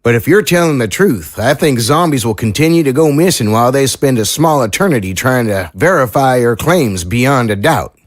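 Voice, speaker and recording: An actor playing an earnest, troubled small-town sheriff in an audio drama, speaking in a folksy, sincere, measured way. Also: male voice, mid-range voice, animated, dry recording, clean studio sound, natural voice. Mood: grave, candid.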